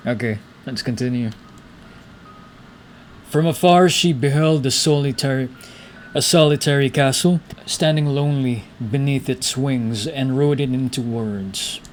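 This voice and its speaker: A man's voice: medium pitch, measured pace, faint music behind. A man giving casual gameplay commentary and reading story text aloud as he plays. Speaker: gaming streamer.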